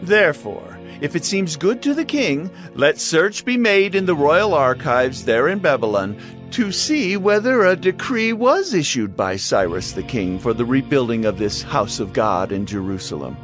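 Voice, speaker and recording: A formal provincial governor. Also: male voice, a little high, very animated, moderate pace, fairly bright tone, faint music behind. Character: male provincial governor writing to the king, formal. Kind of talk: dramatized Bible audio reading.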